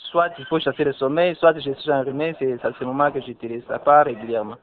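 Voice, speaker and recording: Young man, halting speech. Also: mid-range voice, steady tone. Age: young adult. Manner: hesitant, halting explanation. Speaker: interviewee. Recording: narrow phone-like band.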